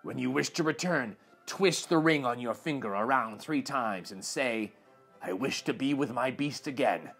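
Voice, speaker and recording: Man voicing a husky, sorrowful storybook beast. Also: mid-range voice, expressive, normal speed, a bit bright, soft background music, pitched below the performer's own voice, exaggerated voice. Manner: grave, pleading, sighing delivery. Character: gentle, sorrowful male beast in love, self-loathing monster.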